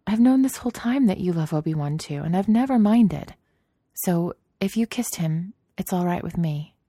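A woman reading a story aloud, voicing a calm, loving young woman, a little higher than her narration. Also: fairly quick, dry recording, studio quality, lightly acted. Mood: gently reassuring.

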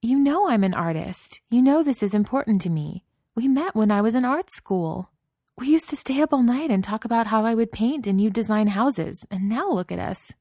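A woman's voice: mid-range voice, moderate pace, darkish tone, dry recording, studio quality, pitched above the performer's own voice, natural voice. A woman voicing a frustrated young woman artist who speaks in an impassioned, raised voice.

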